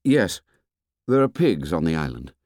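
A man voicing an excitable, boastful schoolboy hunter in forceful outbursts for an audiobook. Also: sits low, a little slow, no echo, clean studio sound, voice pushed down, exaggerated voice. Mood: eager, defiant. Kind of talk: audiobook character voice.

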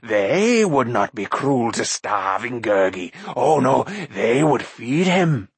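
A man voicing a pitiful, grovelling, hairy half-beast creature that whines and wheedles, in a slightly husky voice. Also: mid-range voice, very animated, slow, dry recording, heavily acted. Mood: wheedling.

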